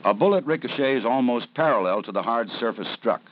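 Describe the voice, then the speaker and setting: A man narrating a 1970s police training film in a measured, serious, instructive way. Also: medium pitch, lively intonation. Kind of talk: scripted documentary narration. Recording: dull 1970s film soundtrack with faint hiss.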